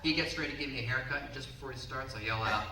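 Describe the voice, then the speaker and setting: A man in his twenties from the Baltimore area, doing a brash, punchy stand-up set on a comedy club stage and sometimes shouting. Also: medium pitch, very fast. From Baltimore area, Maryland. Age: 20s. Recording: roomy, distant, noisy club recording.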